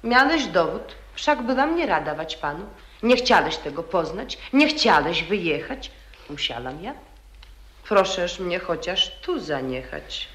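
A woman's voice: lowish voice, very animated, unhurried, a bit bright. An actress playing a proud, prudent young widowed noblewoman in an old verse radio play, speaking in a cool, reproachful way. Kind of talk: 1940s radio acting in verse. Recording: echoey old radio archive.

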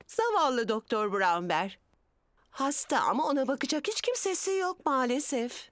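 A woman's voice: sits high, big pitch swings, moderate pace, fairly bright tone. A woman voicing a sympathetic animal character in a dubbed preschool cartoon. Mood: pitying. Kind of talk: dubbed children's cartoon acting.

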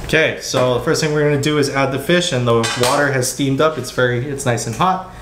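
A man's voice: mid-range voice, steady tone, a little fast. A man, giving a casual cooking demonstration in a home kitchen. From Hawaii, US. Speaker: home cook demonstrating a recipe. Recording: roomy kitchen echo with background noise.

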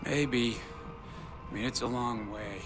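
An actor playing a lonely, jittery war veteran obsessed with movies in a video game, halting and rambling, eager and pleading. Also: male voice, mid-range voice, fairly even intonation, music in the background, close to an everyday voice. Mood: hesitant, sad. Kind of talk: naturalistic video game acting.

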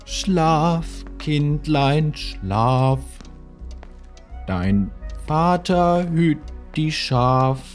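Genial cartoon king and dad, slow and very animated, light music underneath. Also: on the high side. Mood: soothing. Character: fatherly king, genial, easily flustered husband and dad. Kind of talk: German children's cartoon dubbing. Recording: music behind the voice.

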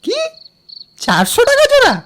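An actor voicing an eager young village lad in an animated moral story. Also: very high voice, moderate pace, a loud crowd, full character voice.

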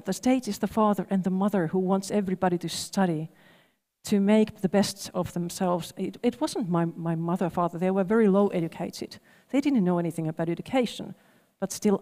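A woman's voice: medium pitch, warm tone. A woman, speaking reflectively on a panel with many restarts. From Finland. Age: 40s. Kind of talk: panel discussion. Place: large event hall on stage. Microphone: headset mic. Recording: long hall reverb.

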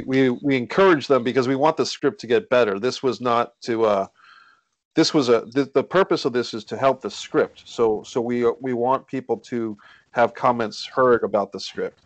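A man's voice: medium pitch, normal speed, dry recording. A man hosting and narrating a screenplay table read over a video call. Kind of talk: reading stage directions, casual moderating.

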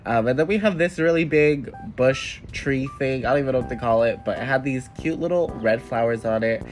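A man's voice: medium pitch, fairly quick, soft background music. Congested young man chatting about plants. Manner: chatty, upbeat, relaxed. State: congested, nasal from a cold. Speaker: plant vlogger. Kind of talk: casual plant tour voice-over. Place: indoor room with plants.

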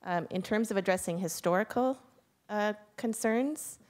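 A woman's voice: mid-range voice, moderate pace, darkish tone. A woman from city planning staff answering questions at a council meeting, cautious and full of fillers.

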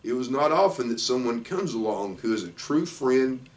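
An older man, around sixty, reading a children's storybook aloud in plain, measured short phrases. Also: mid-range voice, expressive. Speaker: football coach reading a children's book aloud. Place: small indoor office. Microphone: camera a few feet away. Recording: distant microphone in a room.

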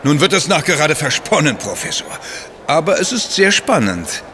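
An actor in a German audio drama playing a suave, politely mocking writer with an urbane, ironic manner. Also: male voice, fairly high pitch, very animated, moderate pace, fairly bright tone, slight background noise. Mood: amused, sceptical.

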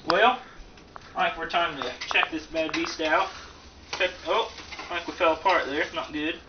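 A man's voice: on the high side, steady tone, normal speed. A man giving a casual, joking cooking demonstration in a folksy, slangy, rambling way, recorded in a home kitchen. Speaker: host of amateur comedic cooking show. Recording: distant, dull lo-fi, loud background noise.

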